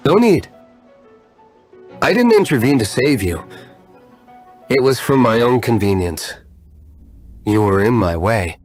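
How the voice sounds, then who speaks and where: A man's voice: mid-range voice, sing-song, unhurried, pauses often, soft background music. A man voicing an aloof, brooding nobleman fighter in a video game, curt and dismissive. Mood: cold.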